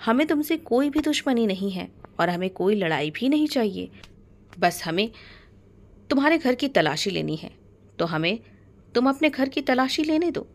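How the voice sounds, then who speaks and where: A woman's voice: a little high, very animated, normal speed. A woman voicing a blustering armed man in a story, challenging and scornful. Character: blustering armed man, sizing up his opponent.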